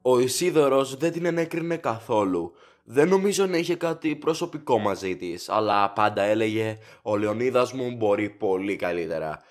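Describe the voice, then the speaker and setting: A man's voice: mid-range voice, animated, natural voice. A man playing a courteous, chatty factory director in an audio drama, formal, rambling and eager to please. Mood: polite, explanatory.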